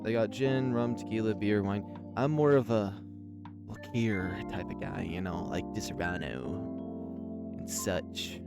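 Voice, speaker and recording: A man's voice: on the low side, slow, over loud music. A man hosting a visual novel read-along, talking casually and rambling, often cutting into his own thoughts. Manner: rambling, self-interrupting. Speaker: host reading a visual novel aloud. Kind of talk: casual gameplay commentary and narration.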